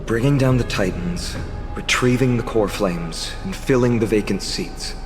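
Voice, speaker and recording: Man acting calm heroic man, measured theatrical delivery, fantasy game, long reverb, clear music behind. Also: lowish voice, close to an everyday voice. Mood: resolute. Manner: measured, theatrical, explanatory storytelling. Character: calm, eloquent male hero explaining a sacred prophecy. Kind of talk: scripted game dialogue.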